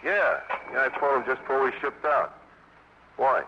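A man's voice: mid-range voice, lively intonation, moderate pace, slight background noise, lightly acted. An actor playing an older, plain-spoken, kindly infantryman in a 1950s radio war drama. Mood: reassuring. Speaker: soldier narrating a war story. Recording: muffled 1950s radio broadcast, music behind.

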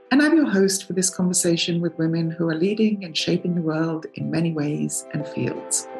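Gravelly older woman hosting a podcast. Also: fairly low pitch. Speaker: podcast host. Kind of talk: scripted introduction.

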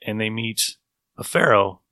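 A male host with a fairly low, forceful bright voice chats casually and wryly as he recaps a story. The sound is close and dry. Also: animated, unhurried, no room sound. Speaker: podcast host.

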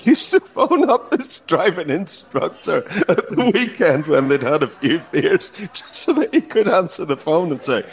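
A man's voice: fairly high pitch, very animated, moderate pace, creaky. A man co-hosting a podcast in casual comic banter, questioning things in a hesitant, bemused way. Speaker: podcast co-host.

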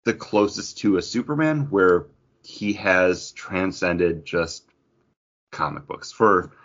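A man with a low voice chats as a podcast host. His delivery is conversational, rambling and enthusiastic. The recording is a little dull and band-limited. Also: slow pace, a bit bright. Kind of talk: casual pop culture chat about comics.

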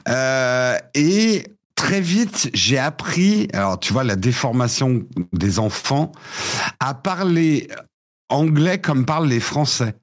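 A man in his fifties who grew up in the United States with English as his first language, recounting his childhood in a lively, halting way. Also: mid-range voice. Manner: animated storytelling with frequent restarts. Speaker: guest. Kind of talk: casual interview chat.

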